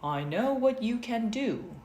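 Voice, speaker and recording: Slow, assured village wise man, read by a woman. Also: on the high side, expressive, a little mellow, voice pushed up. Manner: firm, measured advice-giving. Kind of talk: storybook character reading. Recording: roomy echo, faint noise.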